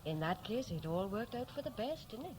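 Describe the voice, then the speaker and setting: Guarded young Welsh wife, played by an actress, expressive pitch. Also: female voice, a little fast, natural voice. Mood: cool, defensive. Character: married waitress, guarded, dryly stoical former sweetheart. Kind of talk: 1960s radio drama acting. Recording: old radio recording, light background noise.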